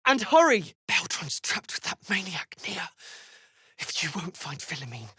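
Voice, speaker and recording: Husky, frantic deep gnome. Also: female voice, sing-song, measured pace, pushed and bright, dry recording, clean studio sound, full character voice. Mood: frantic, urgent. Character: frantic, desperate deep gnome pleading for help. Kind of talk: video game character acting.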